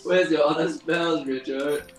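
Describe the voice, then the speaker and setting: A man's voice: on the high side, steady tone, measured pace. A man bantering and teasing his friends in casual gaming chat, often breaking into giggles. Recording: roomy, distant mic with game music.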